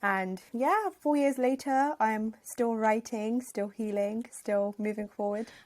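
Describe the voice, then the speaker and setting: A woman's voice: a little high, slow, darkish tone. A young woman, a podcast guest talking openly and reflectively about her life. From Afghanistan, raised in Northwest London. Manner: reflective, open, with frequent fillers and restarts. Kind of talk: casual interview chat.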